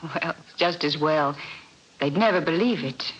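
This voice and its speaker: An anxious young radio heroine. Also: female voice, low voice, measured pace, a bit bright, a little hiss or hum, low-quality recording. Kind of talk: 1940s radio acting.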